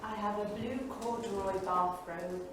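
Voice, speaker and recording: A woman's voice: mid-range voice, fairly even intonation, measured pace, lightly acted. A woman playing an eccentric, unstable bohemian wife, confessing frankly in a staged monologue. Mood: matter-of-fact, self-absorbed. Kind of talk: staged dramatic monologue reading. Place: small studio theatre, staged reading. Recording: echoey theatre, distant mic, faint noise.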